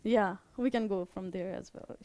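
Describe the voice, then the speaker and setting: A young woman with a Nepali accent gives an informal meetup presentation as an organizer, in a halting way, often repeating and rephrasing her words. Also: mid-range voice, mellow.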